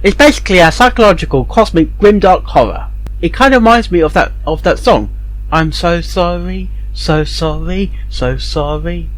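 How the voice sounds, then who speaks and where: A man giving casual reaction commentary as a host, speaking in a halting, piecemeal way. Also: high-pitched, faint music behind, rough recording.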